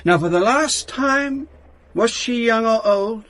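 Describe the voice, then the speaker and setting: An actor playing a dogged, dry police inspector in a radio crime drama, curt in questioning and measured in narration. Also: male voice, extremely high pitch, animated, a bit bright, no room sound, full character voice. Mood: pressing, stern.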